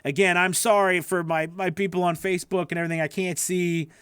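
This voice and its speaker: A man answers viewer questions on a casual livestream, his voice sitting a little high. He rambles, restarts and leans on filler phrases. The sound is close and dry, with no room echo. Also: little pitch movement, brisk, fairly bright tone, clean tone. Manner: rambling, self-correcting, lots of you know. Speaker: voice-over coach answering live questions.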